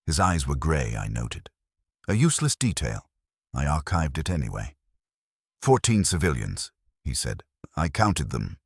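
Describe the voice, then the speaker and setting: Male narrator for dark sci-fi fiction: extremely low voice, solemn and measured, with lively swings in pitch. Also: slightly rough, no room sound, studio quality. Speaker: narrator of science fiction lore stories. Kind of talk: scripted dramatic first-person story narration.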